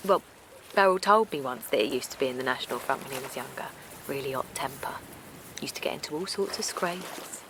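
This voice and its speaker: A woman's voice: a bass voice, a little fast, a bit bright, a little hiss or hum. An actress playing a naive, kindly middle-aged village woman in an audio drama, hesitant and defensive, with colloquial phrasing. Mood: gossipy, hesitant. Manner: hesitant, colloquial, protesting, repeats herself. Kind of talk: naturalistic audio drama acting.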